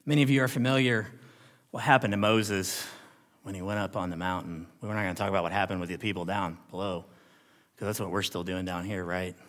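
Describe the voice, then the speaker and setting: A man delivering a sermon as a pastor, with a dramatic delivery that moves between hushed and emphatic. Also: sits low, fairly even intonation, a little fast. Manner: dramatic, swinging from hushed near-whispers to loud emphatic declarations; rhetorical questions and check-ins with listeners. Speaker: pastor preaching. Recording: long hall reverb.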